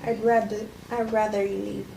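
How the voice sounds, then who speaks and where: A woman's voice: mid-range voice, flat delivery, moderate pace, close to an everyday voice. A woman reading the part of a guarded, composed widow in an understated, hesitant script table read. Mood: cautious, polite. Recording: echoey room with clear background noise.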